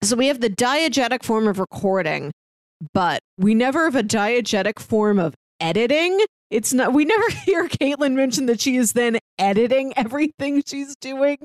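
A woman's voice: on the high side, animated, moderate pace, dry recording, studio quality. A woman co-hosting a review podcast, talking casually and analytically in an articulate, explanatory way. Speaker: podcast co-host reviewing audio dramas.

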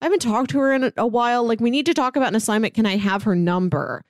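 A woman's voice: fairly high pitch, racing, no room sound, studio quality. A woman co-hosting a podcast, chatting casually about comic books and retelling scenes informally with paraphrased dialogue. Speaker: podcast co-host. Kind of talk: casual comic-book discussion.

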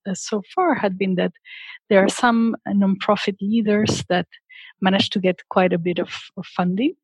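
Middle-aged Peruvian woman, husky, close dry sound. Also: medium pitch, dry recording, low-quality recording. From Peru. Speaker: interview guest, philanthropy expert. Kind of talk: reflective interview answers.

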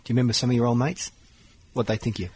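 Quick, upbeat interviewer man. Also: mid-range voice, little pitch movement, darkish tone, breathy edge. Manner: upbeat, friendly questioning. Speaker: interviewer host. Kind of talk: business interview.

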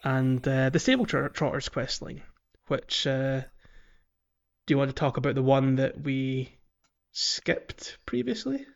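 A man's voice: medium pitch, unhurried, gravelly, dull audio. A man co-hosting a gaming podcast in casual chat, recapping things in a chatty, descriptive way. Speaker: podcast host.

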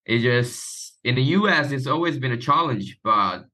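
A man's voice: medium pitch, lively intonation, normal speed, bright, forceful tone. A man from Taiwan, a guest chatting casually in an interview. His phrasing is loose and sprinkled with "you know". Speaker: guest, marketing professional.

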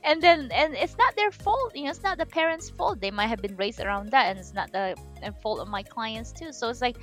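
A woman's voice: on the high side, a little fast, faint music behind. A woman from the Philippines, a financial coach chatting casually as an interview guest and often restarting her phrases. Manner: animated, chatty, frequent restarts and "you know".

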